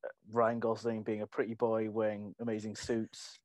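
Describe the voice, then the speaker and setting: Male co-host, halting delivery, opinionated, dry close mic. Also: on the low side, monotone, darkish tone, no room sound. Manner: hesitant, lots of restarts, opinionated. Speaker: podcast co-host. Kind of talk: casual podcast chat.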